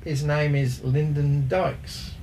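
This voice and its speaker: A man's voice: a little high, fairly even intonation, takes it slowly, mellow, slight background noise. A man sharing football trivia in a casual sports chat, hesitant, with many ums as he reads from his notes. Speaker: co-host presenting football trivia.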